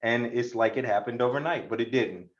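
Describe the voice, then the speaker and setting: An American man on a panel giving casual career advice over a video call in a halting, easygoing flow. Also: medium pitch. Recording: slightly dull video call audio.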